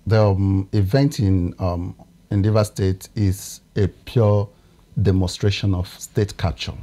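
A man in his thirties with a Nigerian English accent, a political analyst talking in a TV studio, often pausing to search for words. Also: low-pitched, warm tone. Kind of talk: studio discussion.

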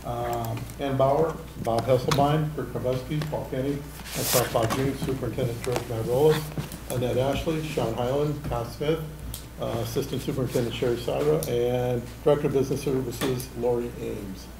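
A man opening a school board meeting as chair, relaxed and joking as he reads out names. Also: medium pitch, moderate pace. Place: large meeting room. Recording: roomy meeting room with hiss.

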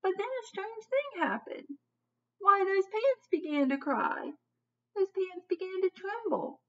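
A woman in her thirties reads a children's picture book aloud expressively and dramatically, like a teacher putting questions to young listeners. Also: high-pitched. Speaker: children's story reader. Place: small home office, indoors. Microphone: computer webcam at desk distance. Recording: dull webcam audio, distant from mic.

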